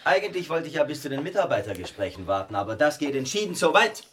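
A man's voice: a little high, sing-song, fast, a bit bright, a little hiss or hum. Pompous, haughty male boss. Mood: cold, condescending. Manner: pompous, stilted, cutting bureaucratic speech. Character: cold, haughty male boss firing an employee. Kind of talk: radio drama dialogue.

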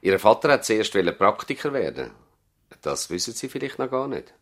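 An actor playing a courteous older country doctor in a radio play, speaking formally with a Swiss German accent. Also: male voice, fairly low pitch, very animated, normal speed, a bit bright, heavily acted. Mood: collegial, reminiscing. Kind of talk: 1970s radio drama dialogue.

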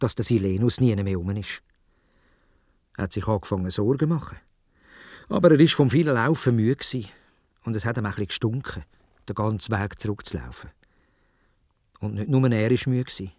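A man with a Swiss German accent telling a children's story in dialect, expressive and playful. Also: on the low side, dry recording, studio quality.

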